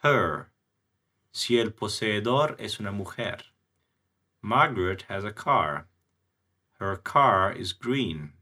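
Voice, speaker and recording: A man teaching a language lesson, explaining grammar and reading example sentences in a very slow, deliberate, clearly enunciated way. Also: lowish voice, sharp tone. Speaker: English teacher.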